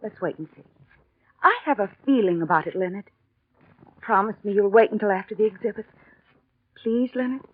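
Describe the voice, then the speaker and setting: An actress playing a gentle, devoted invalid wife with an earnest, pleading manner in a 1940s radio drama. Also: female voice, mid-range voice, fairly quick, pauses often, no room sound, lightly acted. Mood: pleading, hopeful. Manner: soft-hearted, earnest, pleading. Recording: tinny, band-limited 1940s radio recording.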